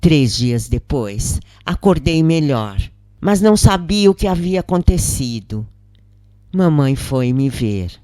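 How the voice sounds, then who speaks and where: Slow-paced Brazilian woman narrator, dry sound. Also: sits low, no room sound. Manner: measured, expressive, acts out quoted lines. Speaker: narrator of a spiritist account. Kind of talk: dramatic first-person audiobook narration.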